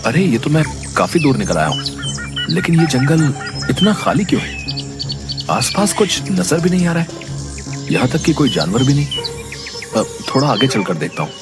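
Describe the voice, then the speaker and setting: Lively carefree young man. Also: on the high side, moderate pace, long pauses. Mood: curious. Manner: breezy, dismissive. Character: cheerful carefree young city grandson. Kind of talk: cartoon storybook voice acting. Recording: background music.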